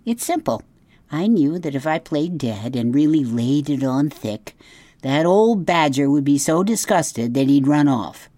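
A woman's voice: deep voice, expressive, measured pace, dark tone. A woman voicing a dramatic, showy female opossum who loves performing. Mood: theatrical. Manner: gushing, theatrical.

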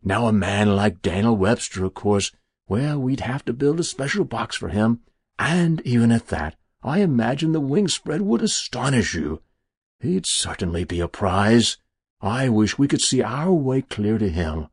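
Man playing a smooth, sinister, leisurely devil. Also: mid-range voice, sing-song, a little slow, dry recording, studio quality, exaggerated voice. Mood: slyly amused. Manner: easy, unctuous, mock-pious, precise diction. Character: smooth sinister devil posing as genteel businessman. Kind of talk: dramatic literary storytelling.

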